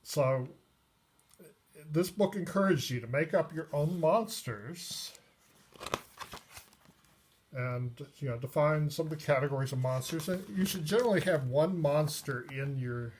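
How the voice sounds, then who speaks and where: A man giving a casual, unscripted review of a tabletop game book, pausing often mid-sentence. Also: a little high. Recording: noisy recording with clicks.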